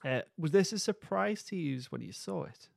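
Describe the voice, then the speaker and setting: A man's voice: sits high, animated, moderate pace, creaky, no room sound, clean studio sound. A man co-hosting a podcast, loosely and a little hesitantly recapping a story in casual chat. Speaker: podcast co-host.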